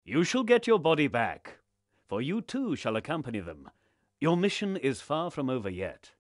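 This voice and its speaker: A solemn lord of gods for a fantasy game. His delivery is formal and commanding, with measured old-fashioned phrasing and a widely swinging melody. The sound is very dry. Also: fairly high pitch, sing-song, no echo. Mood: stately. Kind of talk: fantasy game cutscene acting.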